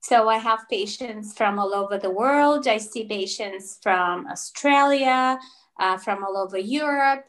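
A woman's voice: mid-range voice, measured pace, fairly bright tone. A woman in her thirties, born in Russia with Russian as her first language, telling her story as an interview guest over a video call, thoughtful with many fillers and restarts. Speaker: naturopathic doctor, guest.

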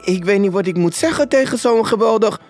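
A man voicing a polite charity lady in a storybook, halting, astonished and grateful. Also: high-pitched, lively intonation, a little mellow, faint music behind, pitched above the performer's own voice. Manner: halting, courteous.

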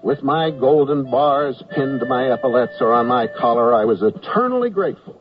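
A man's voice: medium pitch, expressive, moderate pace, lightly acted. A man with an American accent playing an earnest army private who wryly narrates his wartime memoir in a radio comedy. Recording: muffled 1979 radio broadcast, music behind.

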